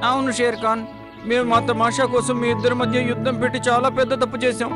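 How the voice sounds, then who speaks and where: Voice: medium pitch, level tone, fast, over music, lightly acted. An actor voicing a mischievous young talking parrot in a children's cartoon, with exaggerated delivery. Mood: remorseful.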